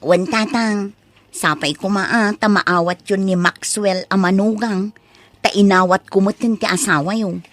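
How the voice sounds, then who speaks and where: A woman's voice: mid-range voice, steady tone, measured pace, no room sound, lightly acted. A woman playing an insistent grown daughter in a comedy audio drama, speaking with an Ilocano accent. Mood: pleading. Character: insistent grown daughter pleading with her father. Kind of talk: comedy radio drama acting.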